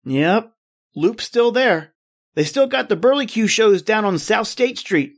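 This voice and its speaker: Brash American newspaperman, played by a man, highish voice, slangy and excitable, radio-play pal out for a good time. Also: measured pace, exaggerated voice. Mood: chummy, eager. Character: brash, jovial male American newspaperman, eager for nightlife. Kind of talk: old-time radio drama acting.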